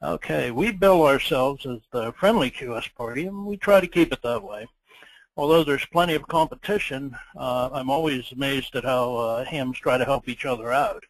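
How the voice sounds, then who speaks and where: A man giving an informal webinar presentation as an organizer, speaking haltingly with frequent ums and uhs. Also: medium pitch, low-quality recording. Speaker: contest chairman presenting a webinar. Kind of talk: informal slide presentation of contest rules. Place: indoors, online webinar.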